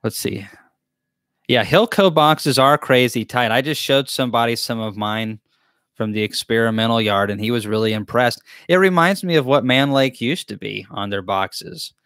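A man's voice: medium pitch, normal speed, a bit bright, dry recording, clean studio sound. A man, hosting a live beekeeping Q&A from a small wood-paneled room, rambling and chatty with frequent restarts. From Tennessee, US. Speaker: beekeeping channel host. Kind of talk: live Q&A chat. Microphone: desk mic close to camera.

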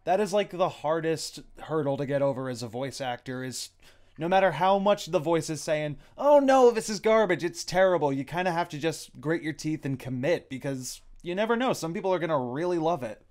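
A man hosting a casual group Q and A, talking in a jokey, animated way with some swearing. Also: a little high, a little fast. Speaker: host and voice actor.

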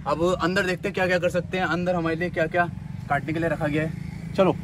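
A man's voice: fairly high pitch, level tone, fast talker. A man in his twenties from India chatting casually as a car vlogger, recorded around a car on the road. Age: 20s. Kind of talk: casual vlog chat. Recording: clearly noisy car and roadside sound. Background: car and road noise.

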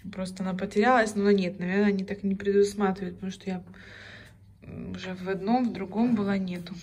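A woman's voice: mid-range voice, a little mellow. Woman chatting casually with faint noise. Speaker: mother showing facilities in a vlog. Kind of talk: casual vlog chat.

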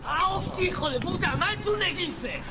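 A frantic, foul-mouthed video game character, put on by a man. The voice is piercingly high and swoops with outrage. Game noise sits behind it. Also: lively intonation, a little fast. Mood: outraged. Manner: frantic, swearing.

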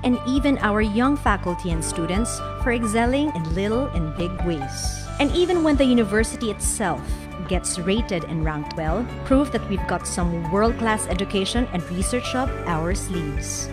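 A woman's voice: mid-range voice, lively intonation, normal speed, hardly any pauses. A woman reading scripted promotional narration for a university in the role of video narrator. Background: music.

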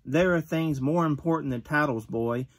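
Gruff man reading a wrestler's words. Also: fairly high pitch, moderate pace. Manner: gruff, tough-guy reading with occasional stumbles. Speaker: father reading a magazine interview aloud. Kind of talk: reading an interview aloud. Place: bedroom with shelves of collectibles. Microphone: phone camera at close range.

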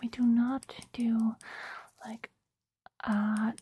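A woman in her twenties doing a whispered ASMR roleplay, speaking close to the mic in a breathy, gentle, reassuring way. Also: on the high side, flat delivery, very slow. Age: 20s. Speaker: ASMR roleplayer playing a surgeon. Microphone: close mic, speaking through a surgical mask.

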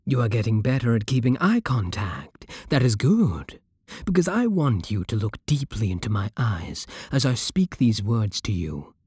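A man playing a possessive, commanding vampire king in an intimate ASMR roleplay, with a hushed, breathy British delivery that is slow and deliberate. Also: mid-range voice, sing-song, dry recording, clean studio sound. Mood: seductive, solemn.

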